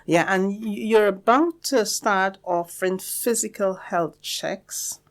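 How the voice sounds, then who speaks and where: A woman's voice: mid-range voice, slow pace. A woman interviewing as a radio host, talking conversationally and in a reflective, rambling way, as if thinking aloud.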